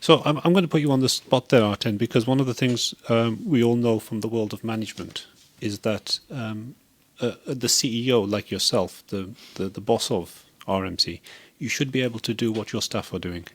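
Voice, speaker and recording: A man's voice: medium pitch, moderate pace, no echo. A man hosting a community radio interview, upbeat and encouraging, asking the guest questions and praising his answers. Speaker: radio host.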